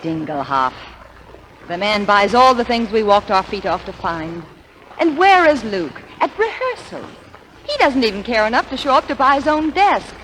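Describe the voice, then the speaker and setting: An actress playing a divorced stage actress, emotional and earnest, in a 1940s radio play. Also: female voice, on the high side, very animated, normal speed, fairly bright tone, rough recording. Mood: heartfelt. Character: woman, divorced actress, wounded but proud. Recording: faint hiss of old radio.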